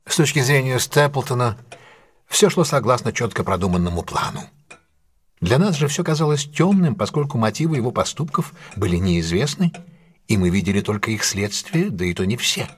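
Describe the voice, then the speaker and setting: An actor playing a brilliant, assured detective who lays out a case in a deliberate, emphatic, analytical way in an audio drama. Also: male voice, mid-range voice, lively intonation, husky. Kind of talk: audio drama dialogue, expository monologue.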